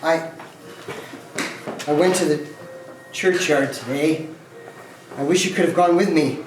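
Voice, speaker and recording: A man playing a gentle, weary, bereaved father in a theatrical reading, halting and earnest. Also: on the high side, close to an everyday voice. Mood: halting grief. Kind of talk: theatrical dramatic stage reading. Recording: echoey room, distant mic, faint noise.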